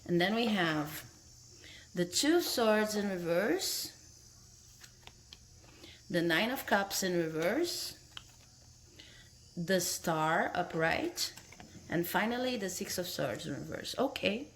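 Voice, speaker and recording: A woman's voice: lowish voice, expressive, slow, long pauses, slight background noise. Brazilian-accented woman reading tarot on a phone. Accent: Brazilian Portuguese. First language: Portuguese. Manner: friendly, tags sentences with "okay?", laughs between phrases. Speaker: tarot reader. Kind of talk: casual talk to camera.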